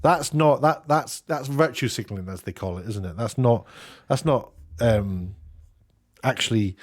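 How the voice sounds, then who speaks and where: Rambling man giving off-the-cuff commentary. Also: medium pitch. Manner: rambling, halting, thinking aloud. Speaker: online commentator; former BBC worker. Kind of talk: off-the-cuff opinion commentary with read-out quotes.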